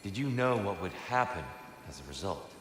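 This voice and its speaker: An actor playing a calm, wry, curious companion in a video game, articulate and probing, with long reverb on the voice. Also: male voice, sits low, normal speed, a little hiss or hum. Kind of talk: cinematic video game acting. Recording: long echoing reverb under loud music.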